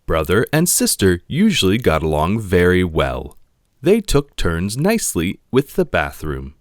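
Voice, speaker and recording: A man reading a children's picture book aloud in an expressive narrating style. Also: sits low, dry recording, studio quality. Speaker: storybook reader.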